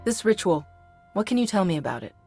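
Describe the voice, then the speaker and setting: Female commander, middle pitch, serious, direct, decisive, game cutscene, music behind. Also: very animated, quick pace, darkish tone, husky. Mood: serious, focused. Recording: background music under the voice.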